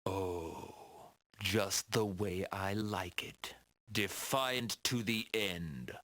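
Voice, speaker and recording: A man voicing a smug, brooding villain in a video game, breathy and savoring each halting phrase. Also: sits low, sharp tone, no echo, heavily acted. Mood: smug menace. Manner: savoring, halting dramatic delivery. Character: smug, dramatic male villain, theatrical and brooding. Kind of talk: video game cutscene acting.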